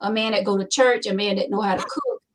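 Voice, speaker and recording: A woman's voice: medium pitch, fairly even intonation, fast talker. A woman chatting casually on a group show, teasing and joking with the others.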